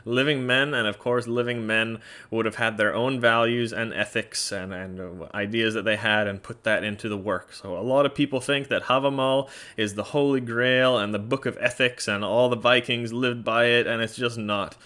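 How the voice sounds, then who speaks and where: A man's voice: medium pitch, fairly even intonation, normal speed, sharp tone. A man with a Norwegian accent explaining in a casual, chatty way, blunt and often laughing, with many fillers. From Norway. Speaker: educator presenting a series.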